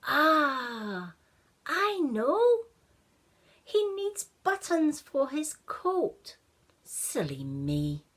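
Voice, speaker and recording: A woman's voice: sits high, wildly swooping pitch, drawn-out words, fairly bright tone, pitched above the performer's own voice, heavily acted. A woman voicing an eager, puzzled little boy in a children's story, exclaiming and musing aloud. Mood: excited, pondering.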